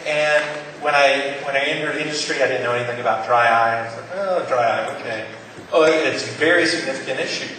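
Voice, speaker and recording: A man gives an earnest, encouraging talk to patients at a conference, often restarting his sentences. His voice sits at a middle pitch. It carries from a distance through a big, echoey hall with faint background noise. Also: a little fast, pushed and bright. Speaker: pharmaceutical company presenter. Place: conference room.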